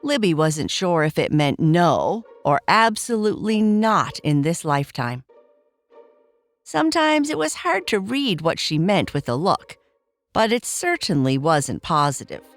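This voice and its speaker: A woman narrating a bedtime story for children in a gentle, expressive read-aloud manner. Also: lowish voice, measured pace, faint music behind.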